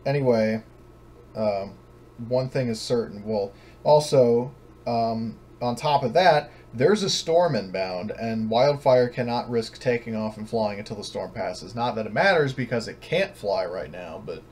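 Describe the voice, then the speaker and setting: A man running a tabletop game as game master, casually narrating and explaining in a halting, hesitant way. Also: medium pitch, darkish tone. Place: online voice call, room with background noise. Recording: clear background noise on voice chat.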